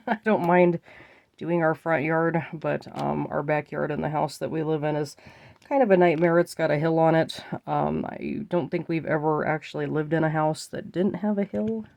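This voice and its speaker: A woman's voice: sits low, fairly even intonation, moderate pace, vocal fry, darkish tone, dry recording. A woman with a stuffy, congested nose chatting casually to viewers while she makes bracelets. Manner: chatty, often addresses viewers as you guys. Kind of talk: casual chat while crafting.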